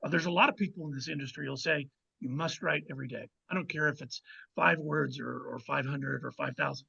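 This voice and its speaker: Male author guest, forceful, husky, emphatic casual advice, lo-fi audio. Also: mid-range voice, a little fast. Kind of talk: casual interview talk, giving writing advice.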